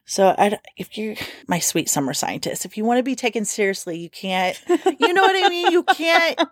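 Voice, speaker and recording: A woman's voice: fairly high pitch, very animated, normal speed, no echo, studio quality. A woman co-hosting a casual podcast, telling a strange case with playful swearing and reading quotes aloud. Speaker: podcast co-host telling a true-crime story. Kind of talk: casual storytelling chat.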